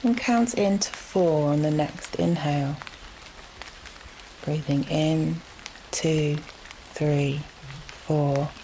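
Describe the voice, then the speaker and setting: A woman leading a guided relaxation meditation in a gentle, soothing manner, leaving long unhurried pauses between phrases. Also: low voice, slight background noise, rough recording. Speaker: yoga nidra meditation guide. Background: steady rain sounds.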